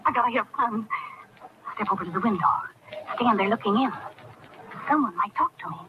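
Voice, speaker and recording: A woman's voice: mid-range voice, expressive, fairly quick, close to an everyday voice. An actress playing a spoiled, rebellious young rich girl in old radio drama, with slangy, insolent, petulant delivery. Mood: sullen, scheming. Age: young adult. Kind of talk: 1940s radio acting. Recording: muffled, noisy old-time radio recording.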